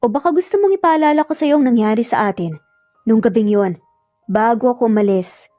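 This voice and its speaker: A woman's voice: a little high, fairly quick, mellow, faint music behind, close to an everyday voice. A woman voicing a serious, demanding man in a romance story, speaking in a firm, pressing, challenging manner. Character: serious, demanding adult man confronting his former lover. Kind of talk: dramatic romance audio storytelling.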